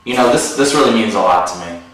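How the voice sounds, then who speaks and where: A man playing a mild, awkwardly polite captive in a comedy sketch, with halting, deadpan delivery. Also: on the low side. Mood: awkward, earnest. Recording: very echoey room, distant mic.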